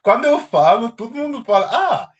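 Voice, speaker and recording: A man's voice: very high voice, fast talker, dull audio. A man from Congo, speaking English as a second language, talking casually with a class about learning languages. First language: not English. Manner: animated, expressive, laughs often. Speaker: guest.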